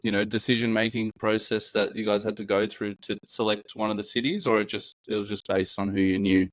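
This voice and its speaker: Man, deeper voice, casual interviewer, dry room. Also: little pitch movement, brisk, dry recording, low-quality recording. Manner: loose, rambling questions with fillers. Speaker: interviewer, host.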